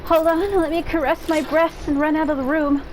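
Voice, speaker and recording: A woman's voice: high-pitched, steady tone, fairly quick, noisy background. A woman gaming streamer giving casual, blunt commentary peppered with swearing, as she plays.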